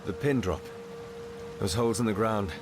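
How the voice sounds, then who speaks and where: Actor as low-voiced, earnest fantasy exile. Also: male voice, normal speed, close to an everyday voice. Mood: calm, urgent. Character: weary exiled man, guilty yet resolute, loyal. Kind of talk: dramatic fantasy game voice acting. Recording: music behind the voice.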